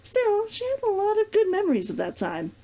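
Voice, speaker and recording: A woman reading fiction aloud as an expressive storybook narrator. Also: very high-pitched, a little fast. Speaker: reader of a fiction read-along.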